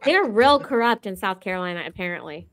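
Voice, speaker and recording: A woman's voice: medium pitch, lively intonation, fairly quick, a bit bright, slight background noise. A woman chatting casually, very informal and a little hesitant, tending to trail off. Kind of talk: casual chat.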